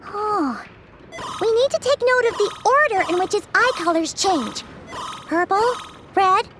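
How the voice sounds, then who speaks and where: A woman voicing a small, childlike female companion sprite in a video game dub, squeaky, exclamatory and easily exasperated. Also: lively intonation, measured pace, noisy background. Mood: focused, reciting. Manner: speaks of herself in third person, exclamatory.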